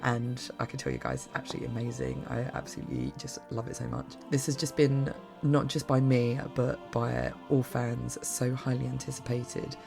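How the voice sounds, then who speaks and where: A woman with a bad cough and a croaky, hoarse voice, giving a casual, rambling spoken review that often restarts mid-sentence. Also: a bass voice, normal speed, hardly any pauses, over music. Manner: rambling, gushing, many restarts. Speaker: game reviewer.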